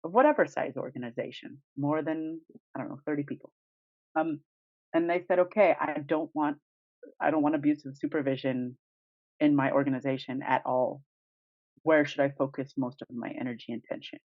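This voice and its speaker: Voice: mid-range voice, fairly quick, dry recording. A podcast co-host talking casually and emphatically, with strong opinions and informal phrasing. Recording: dull, lo-fi dry recording.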